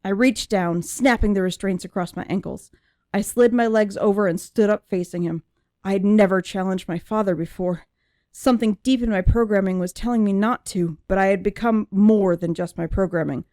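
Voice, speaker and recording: Woman as a mellow-voiced, anguished young android. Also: medium pitch, no room sound, studio quality, close to an everyday voice. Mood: distressed. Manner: emotive, deliberate. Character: young female android with human memories, anguished, searching for herself. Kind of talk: dramatic first-person audiobook narration.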